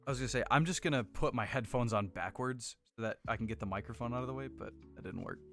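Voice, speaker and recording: An American man with a deep voice chatting casually and rambling a little as he hosts a livestream on a close desk microphone. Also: fast, a bit bright, faint music behind. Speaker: livestream host building model kits. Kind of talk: casual chat with live viewers. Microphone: close desk condenser microphone.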